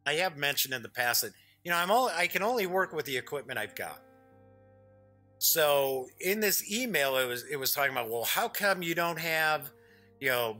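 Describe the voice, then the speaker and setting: A man's voice: a little high, sharp tone. A man chatting informally as host of a gaming livestream, rambling along in a conversational, folksy way.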